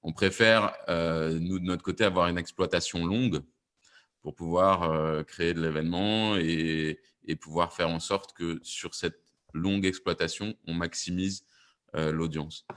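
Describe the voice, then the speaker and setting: A man who works as an audio fiction producer, explaining on a panel and haltingly repeating words as he searches for phrasing. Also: low-pitched, steady tone, fairly bright tone.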